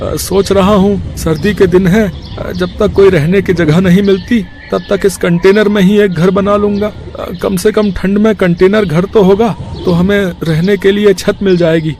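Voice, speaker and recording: A man plays a poor village father in a cartoon moral tale, very high in pitch. He sounds humble, earnest and hesitant, with faint noise behind him. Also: fairly even intonation, measured pace, hardly any pauses, a little mellow. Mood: humble, hopeful.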